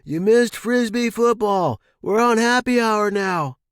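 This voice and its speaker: A man performs a brash young buddy for an audio story. He is high-pitched and loud, stretching slangy words as he teases and pushes his friend to join in. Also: clean tone, dry recording, clean studio sound. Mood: impatient, excited. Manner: shouting over crowds, slangy, drawn-out words. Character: brash young male party buddy, loud, teasing, egging on. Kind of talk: audio fiction character acting.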